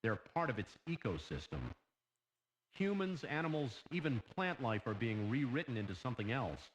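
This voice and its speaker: A man playing a grim scientist giving a measured, explanatory briefing to a president in a horror animation. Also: mid-range voice, big pitch swings, natural voice, rough recording. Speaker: scientific adviser.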